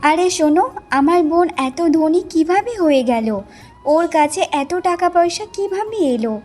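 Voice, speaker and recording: A woman's voice: very high voice, animated, moderate pace, darkish tone. A woman voicing an envious, greedy village wife in a fairy-tale cartoon, with a complaining, questioning manner. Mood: jealous. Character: envious, greedy younger village wife, curt and self-serving.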